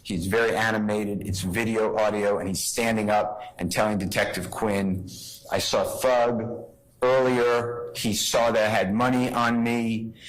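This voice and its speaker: A man with a fairly low voice speaks as a defense attorney in court. He lays out a legal argument step by step, in a halting, explanatory manner. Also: steady tone, poor audio.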